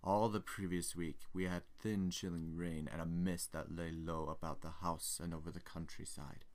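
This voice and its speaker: A man acts out a melancholy older gentleman haunted by his past. His voice is low and creaky, and he delivers his stage monologue in a formal, measured, brooding way, as a theatrical ghost story. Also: flat delivery. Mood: brooding, reflective. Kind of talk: dramatic monologue reading from a stage play.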